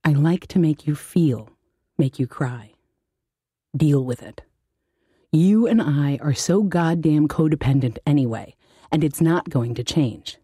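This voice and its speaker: A woman reading an audiobook monologue as a bitter, heartbroken, blunt first-person narrator with a husky voice. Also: sits low, a little slow, mellow, no echo, clean studio sound. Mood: defiant, bitter. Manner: blunt, confessional, brooding. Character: bitter, heartbroken, foul-mouthed narrator, self-loathing and defiant.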